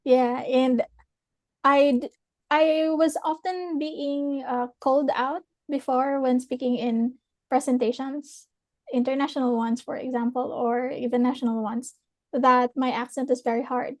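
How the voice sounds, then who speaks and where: A young woman from the Philippines with a Philippine English accent, hosting a webinar and reflecting thoughtfully with frequent pauses and restarts. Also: sits high, fairly even intonation, darkish tone, dry recording. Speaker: webinar host. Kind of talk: reflective chat with a guest.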